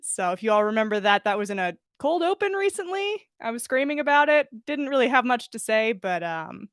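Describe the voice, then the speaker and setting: High-voiced woman hosting a casual stream. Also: big pitch swings, a little fast, no echo, clean studio sound. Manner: giggly, informal, swears casually. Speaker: podcast host. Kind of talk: casual livestream chat and reading fan fiction aloud. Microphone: close mic with pop filter.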